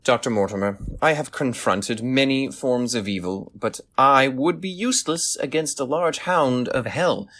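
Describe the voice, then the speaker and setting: An actor playing a brisk, sceptical Victorian detective in an audio drama, speaking in a formal, inquisitive way. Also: male voice, mid-range voice, a bit bright, natural voice. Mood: measured, decisive.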